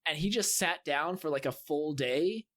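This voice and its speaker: A man's voice: on the high side, normal speed, a bit bright. A man co-hosting a podcast, telling stories in a casual, conversational style. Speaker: podcast co-host. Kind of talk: casual chat, storytelling.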